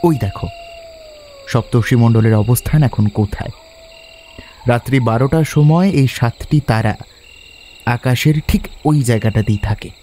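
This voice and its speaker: An actor playing a resolute, quick-witted schoolboy adventurer in an audio drama, speaking in a decisive, earnest way. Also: male voice, medium pitch, mellow, a little hiss or hum, lightly acted. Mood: explaining. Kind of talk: Bengali audio drama acting.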